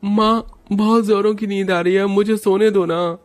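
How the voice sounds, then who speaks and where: Man playing a small schoolboy, high voice, sleepy, pleading, whiny, cartoon moral tale. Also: fairly even intonation, moderate pace, natural voice. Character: hungry, sleepy young schoolboy pleading with his mother. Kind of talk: animated moral story dialogue.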